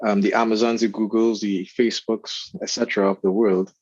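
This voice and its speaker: A man with a Trinidadian accent, a guest in an interview, speaking in an earnest, measured way with fillers. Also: fairly low pitch, little pitch movement, darkish tone, no echo, dull audio. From Trinidad and Tobago. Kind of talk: casual interview chat.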